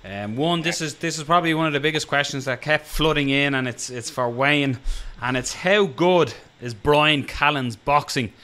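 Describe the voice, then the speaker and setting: A man's voice: fairly high pitch, moderate pace, sharp tone. A man hosting a podcast, putting listener questions to his guests with hesitant, filler-filled lead-ins. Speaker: podcast host.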